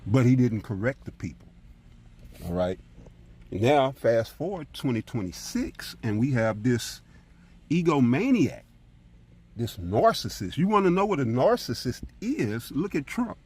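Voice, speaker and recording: A man with a husky voice teaching scripture as a preacher, in an emphatic, colloquial style full of rhetorical check-ins. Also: medium pitch, sing-song, unhurried, a little mellow. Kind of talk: fiery informal Bible teaching with commentary on scripture readings.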